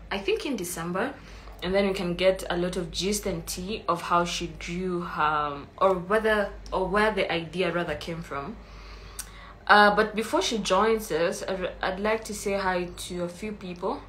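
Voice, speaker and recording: A woman's voice: on the low side, a bit bright, slight background noise, poor audio. A woman with a Kenyan accent hosting a casual live stream, chatty and greeting viewers by name, a little congested from an oncoming flu. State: coming down with a flu, clearing her throat.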